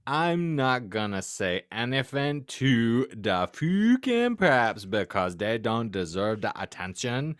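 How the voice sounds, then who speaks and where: A man hosting a podcast, giving a theatrical, deliberately stumbling comic reading of fan fiction. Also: medium pitch, clear, resonant tone, dry recording, studio quality. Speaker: podcast host.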